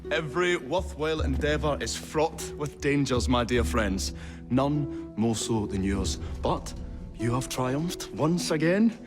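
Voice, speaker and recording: An actor playing a courteous, earnest Victorian inventor in a video game, with formal, precise speech. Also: male voice, medium pitch, expressive, measured pace, long reverb tail, close to an everyday voice. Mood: earnest, admiring. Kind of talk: video game cutscene acting. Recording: music under the voice.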